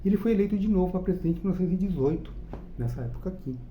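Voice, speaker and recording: A man's voice: high voice, lively intonation, racing, phone-quality sound, slight background noise. A Brazilian man with a Brazilian Portuguese accent hosting his own channel, giving a chatty, enthusiastic review of a comic book and checking in with the listener with frequent tag questions. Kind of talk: casual spoken review.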